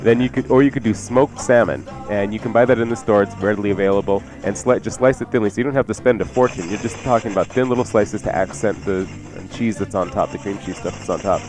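Low-voiced American man, music behind. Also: fast talker, hardly any pauses, a little mellow. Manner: informal, bantering, explanatory. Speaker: radio cooking show host. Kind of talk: casual cooking chat on live radio. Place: radio studio. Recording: radio broadcast with music behind.

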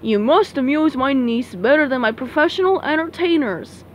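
A woman's voice: sits high, animated, normal speed, slight background noise, voice pushed down. Woman doing a pompous cartoon sea king. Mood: proclaiming. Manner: pompous, proclaiming. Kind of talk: amateur comic dub, cartoon voice acting.

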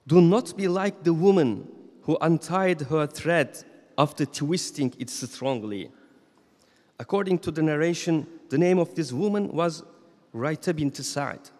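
A man in his thirties giving a Friday sermon as imam, reading from notes in a measured, solemn way at a stand microphone in a large mosque hall. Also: fairly high pitch, lively intonation, a little mellow. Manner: measured, solemn reading with slow emphatic phrases. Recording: long reverb of a mosque hall.

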